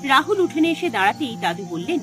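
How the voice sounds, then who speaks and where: A woman narrates a scripted ghost story over music. Her voice sits fairly high and swings widely as she builds suspense in a dramatic storytelling style. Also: big pitch swings, fast, a bit bright. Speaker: narrator of an animated horror story. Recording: music behind the voice.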